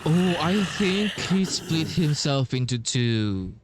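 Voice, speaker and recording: A man's voice: on the high side, sing-song, slow, background noise. A man giving casual playthrough commentary as a game streamer, hesitant and thinking aloud.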